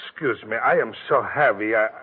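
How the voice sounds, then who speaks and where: An actor playing a heavy foreign man in a plaster cast, speaking in broken, halting English in a 1940s radio play. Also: on the low side, slightly rough. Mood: pleading. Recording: tinny old radio broadcast.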